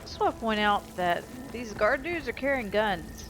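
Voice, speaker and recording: A woman's voice: on the high side, lively intonation, moderate pace. A woman hosting a gaming channel, giving casual, playful let's-play commentary with a wry sense of humor. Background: game music and sounds.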